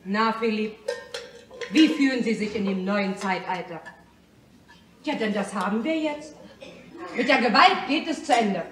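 Actress as a plucky, echoey-voiced Parisian madame. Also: female voice, mid-range voice, lively intonation, measured pace, pauses often, music in the background, natural voice. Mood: cheerful. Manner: forthright, questioning. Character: plucky, questioning Parisian working-class madame. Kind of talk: German radio play acting.

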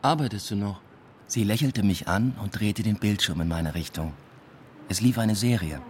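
A man's voice: lowish voice, normal speed, a little mellow, slight background noise, lightly acted. An actor playing a weary, ironic male lecturer who narrates his own story in a radio play with dry, reflective understatement. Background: music.

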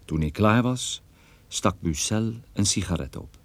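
An actor playing a private detective who narrates his own case in a measured first-person radio drama style. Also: on the low side, animated. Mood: measured, recounting. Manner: measured, recounting.

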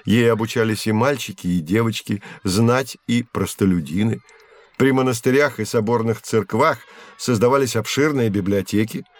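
A learned professor, played by a man, with a slightly low voice. He explains things in measured, didactic phrases, as a guide in an educational audio drama for children. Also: no echo, close to an everyday voice. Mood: patient, explanatory. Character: learned male professor guiding children through history.

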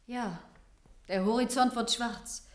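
A woman's voice: on the high side, slow pace. An actress playing a woman in conversation in a German science-fiction radio play. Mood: calm, inquiring. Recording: roomy, echoing studio sound.

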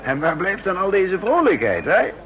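An actor playing an authoritative undercover spy in a radio play, speaking in a clipped, formal, commanding way on a hissy old recording. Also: male voice, high-pitched, big pitch swings, moderate pace, highs cut off. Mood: condescending, argumentative. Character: male undercover spy in office, cool and authoritative. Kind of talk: Dutch radio play acting.

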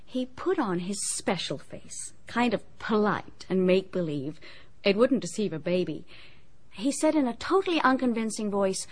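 An actress playing a forthright young society niece in a radio drama, polite but candid and vividly descriptive. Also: female voice, medium pitch, expressive, normal speed, close to an everyday voice. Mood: scornful, candid.

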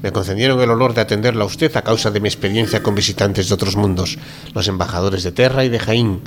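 A man's voice: fairly low pitch, quick pace, natural voice. A man playing a courteous, fussy, deferential ship's doctor in a radio drama, speaking formally and politely. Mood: polite, apologetic. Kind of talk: amateur radio drama reading.

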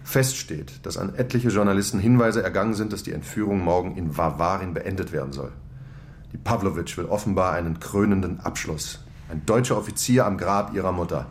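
An actor playing a cool German security official in a radio play, formal and lecturing. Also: male voice, low voice, a little fast. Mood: measured.

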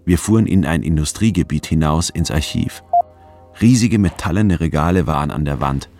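A male actor playing a reflective, wry anthropologist who narrates a German radio drama in a measured, precise way. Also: rumbling low, lively intonation, music in the background.

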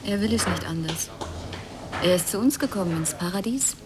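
Actress as lowish cool, cutting woman. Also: lively intonation, moderate pace, natural voice. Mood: cool, disillusioned. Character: cool, disillusioned, sharp-tongued woman. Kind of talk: German radio play acting. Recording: noisy ambient background.

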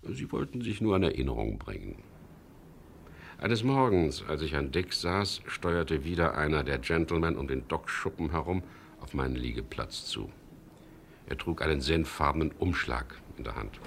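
An actor playing a wry, world-weary middle-aged English skipper who narrates a radio crime drama in a gravelly, laconic voice. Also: male voice, rumbling low, expressive, moderate pace, a little hiss or hum, heavily acted. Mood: wry, reflective. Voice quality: gravelly, husky. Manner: laconic, dry, ironic.

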